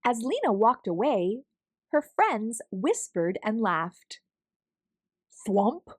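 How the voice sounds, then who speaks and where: A woman narrating a children's picture book in an expressive, animated, deliberate storytelling manner. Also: on the high side, dry recording, studio quality. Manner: animated, deliberate storytelling with acted sound words. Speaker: storybook read-aloud host.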